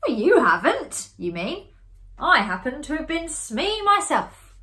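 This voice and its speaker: Woman doing a boisterous teasing young chap, high and loud, ghost story party scene. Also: very animated, measured pace, full character voice. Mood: indignant. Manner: loud, exclamatory, high-pitched.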